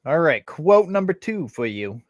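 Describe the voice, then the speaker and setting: A man's voice: on the high side, big pitch swings, a little slow, no echo, highs cut off. A man co-hosting a casual podcast chat, rambling and correcting himself as he talks. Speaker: podcast co-host.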